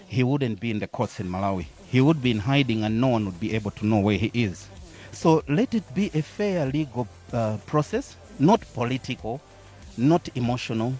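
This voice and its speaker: A man speaking in a measured, deliberate way about a legal process. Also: mid-range voice, darkish tone. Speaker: supporter interviewed.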